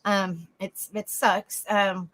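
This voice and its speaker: A woman hosting a casual livestream, chatting softly with viewers by name and reading notes aloud. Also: mid-range voice, steady tone, slow. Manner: soft, easygoing, chats with viewers by name. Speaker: livestream host.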